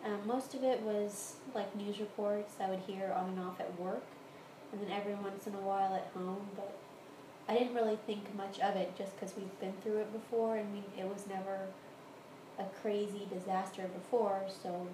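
A woman's voice: medium pitch, fairly even intonation, normal speed. A woman with an American accent casually answering interview questions about her own experiences in a room at home. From Houston area, Texas, United States. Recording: roomy echo, clear background noise.

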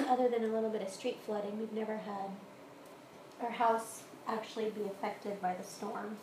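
An American woman speaks casually as an interviewee, recounting things from her own life. Her pitch sits in the middle of the range. The recording is made in a home, with a roomy echo and clear background noise. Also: fairly even intonation, normal speed. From Houston area, Texas, United States. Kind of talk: casual interview answers, recounting personal experience. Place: room in a home.

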